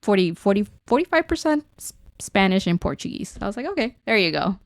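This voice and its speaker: A woman's voice: medium pitch, big pitch swings, fairly quick, no echo, clean studio sound. A woman from Guatemala co-hosting a podcast in casual, chatty, informal conversation. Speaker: podcast co-host. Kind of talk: casual unscripted podcast chat.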